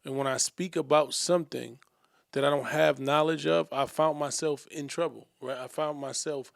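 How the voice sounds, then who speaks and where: A man co-hosting a discussion show, reflecting aloud in a measured way and often asking "right?". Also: a little high, steady tone, gravelly, no echo, clean studio sound. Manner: measured, thinking aloud, tag questions. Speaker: podcast co-host.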